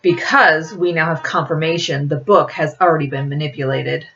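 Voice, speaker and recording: Firm, prim, secretive woman, low-voiced reader's acting. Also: normal speed, dull audio, background noise. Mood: matter-of-fact. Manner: firm, clipped, formal.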